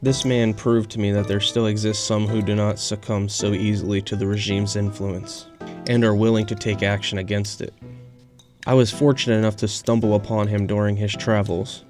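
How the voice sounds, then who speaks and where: A man's voice: lowish voice, little pitch movement, normal speed, darkish tone, faint music behind, lightly acted. A man playing a solemn canine-feline hybrid creature who speaks formally as a rebel ally in an audio drama. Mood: solemn, respectful. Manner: formal, courtly phrasing. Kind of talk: scripted audio drama dialogue.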